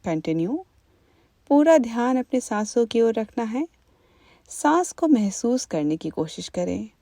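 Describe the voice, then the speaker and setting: A woman leading a guided meditation as an instructor, in a calm, gentle, unhurried manner. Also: fairly high pitch, vocal fry, no room sound, studio quality.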